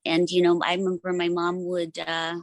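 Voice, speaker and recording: A woman in her forties from the Philippines, sharing personally at a support meeting, pausing often with many ums. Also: fairly low pitch, completely flat delivery, no echo. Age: 40s. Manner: hesitant, many ums and pauses.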